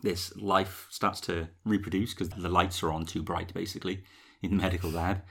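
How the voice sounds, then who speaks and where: A man's voice: low-pitched, little pitch movement, normal speed, creaky, a bit bright, husky. A young man co-hosting a podcast, recapping a TV episode in a chatty, rambling way. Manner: chatty, rambling with fillers and laughs. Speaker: podcast co-host. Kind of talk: casual chat recapping a TV episode.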